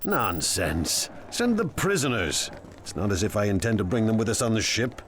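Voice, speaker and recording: An actor playing a proud, ruthless crime lord in a video game, with an imperious, deliberate, menacing delivery. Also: male voice, fairly low pitch, big pitch swings, noisy background. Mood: brisk command. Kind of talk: dramatic video game acting.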